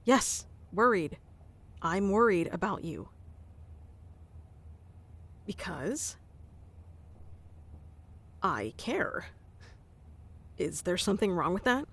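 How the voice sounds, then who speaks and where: A woman in an ASMR roleplay voicing a stubborn young woman boss, stern yet caring, speaking softly and intimately into a close microphone. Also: on the low side, big pitch swings, very slow, pauses often, husky, heavily acted. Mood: tender, worried.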